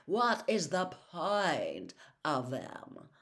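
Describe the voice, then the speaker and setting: A haughty teenage schoolboy, voiced by a woman narrator in a storybook, cold and sarcastic. He drawls each word slowly, his sneer dripping with disdain. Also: low-pitched, very animated, vocal fry, a bit bright, heavily acted. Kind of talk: audiobook character voice.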